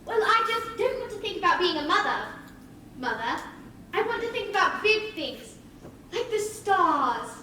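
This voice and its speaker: Spirited girl, very high, bright voice. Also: big pitch swings, measured pace, full character voice. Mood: dreamy, earnest. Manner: earnest, indignant, theatrical. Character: spirited, defiant, dreamy young girl. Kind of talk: theatrical stage acting. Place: theatre stage. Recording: echoey stage recording, distant mic, faint noise.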